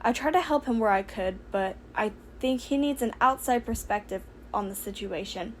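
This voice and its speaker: A woman's voice: fairly high pitch, normal speed, a little hiss or hum, close to an everyday voice. Woman acting a brash, sardonic young heroine. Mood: casual, fond. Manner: casual, exasperated, emphatic. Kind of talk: audiobook character voice for fan fiction.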